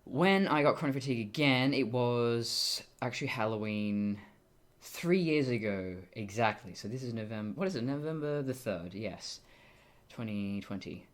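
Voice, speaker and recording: A young woman with a deep voice for a woman, talking casually and candidly to camera in a wry, self-interrupting way. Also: lively intonation, moderate pace. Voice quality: deep voice for a woman, deepened by testosterone. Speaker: vlogger. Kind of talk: casual personal monologue to camera.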